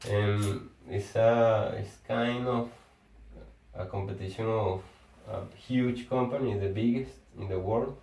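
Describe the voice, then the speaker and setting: A Venezuelan man, a Spanish speaker and engineer, in casual conversation, talking haltingly and often restarting words as he searches for his phrasing. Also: lowish voice, fairly even intonation, a little mellow. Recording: echoey room, far from the mic.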